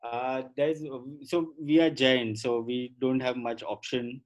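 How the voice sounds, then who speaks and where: A man's voice: mid-range voice, takes it slowly, muffled recording. A man with an Indian accent giving thoughtful, hesitant answers as a guest in a casual interview. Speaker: podcast guest.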